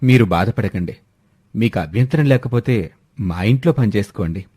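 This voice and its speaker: A man voicing a kindly, patient wealthy landlord in a village storybook, gentle and reassuring. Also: fairly low pitch, expressive, normal speed, breathy edge, no echo, clean studio sound.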